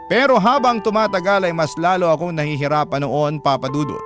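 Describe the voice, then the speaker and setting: A Filipino storyteller, voiced by a man, reads a sufferer's first-person confession for radio drama. The voice is slightly high, and loud music plays behind it. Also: fairly quick, natural voice. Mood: somber. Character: storyteller reading a suffering letter writer's first-person account. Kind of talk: scripted dramatic narration. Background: loud background music.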